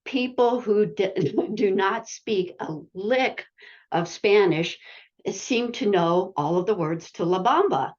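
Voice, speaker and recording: A woman's voice: mid-range voice, animated, slow, darkish tone, muffled recording. A woman adult-education teacher casually telling a classroom story on a video call, chatty with lots of fillers. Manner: chatty, many fillers, laughs while telling. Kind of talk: casual anecdote sharing.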